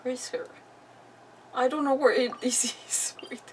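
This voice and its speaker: A woman's voice: high voice, fairly even intonation, moderate pace, slight background noise. Woman game streamer, slightly distant mic. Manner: muttering to herself, reacting to the game. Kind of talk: casual live gameplay commentary. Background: game music.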